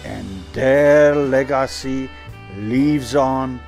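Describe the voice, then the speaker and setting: A man presenting a mock documentary in a deadpan, halting way with dramatic pauses and non-native English phrasing. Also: medium pitch, creaky, faint music behind. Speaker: presenter of a short film.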